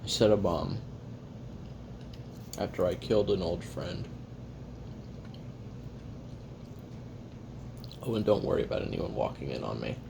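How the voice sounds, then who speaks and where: A man playing a weary, jaded assassin who records a private confession in a halting, sardonic, casually profane way while eating. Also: deep voice, big pitch swings, creaky, noisy background, full character voice. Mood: matter-of-fact. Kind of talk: first-person confessional audio roleplay monologue.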